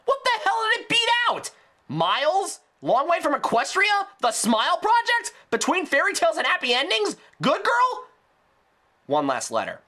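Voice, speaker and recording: A man's voice: very high-pitched, wildly swooping pitch, normal speed, pushed and bright. A man delivering a scripted ranting review in a sarcastic, exasperated, emphatic way. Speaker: video reviewer.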